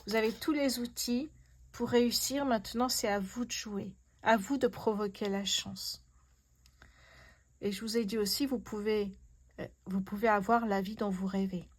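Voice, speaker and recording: A woman gives a tarot reading to her viewers in a casual, personal style. Her delivery is effusive and full of wonder, often repeating her amazement. Her voice sits at a middle pitch for a woman. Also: fairly even intonation, normal speed. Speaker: host, tarot card reader.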